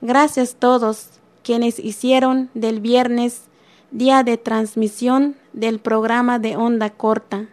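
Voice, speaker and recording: A woman reading a listener's letter aloud on the radio, slow and earnest. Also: on the high side, flat delivery, a little mellow.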